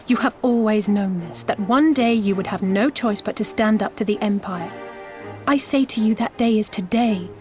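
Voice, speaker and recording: Game role: earnest, daring female envoy actress, formal measured persuasion, medium pitch, music behind. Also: a little mellow, close to an everyday voice. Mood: earnest, firm. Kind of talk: video game voice acting. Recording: background music.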